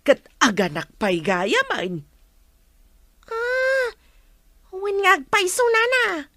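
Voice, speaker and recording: An actress with an Ilocano accent playing a gossipy, suspicious woman fretting over family affairs in a radio drama, with exclamations and drawn-out sighs. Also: very high voice, very animated, bright, forceful tone, dry recording, clean studio sound, exaggerated voice. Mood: scandalized.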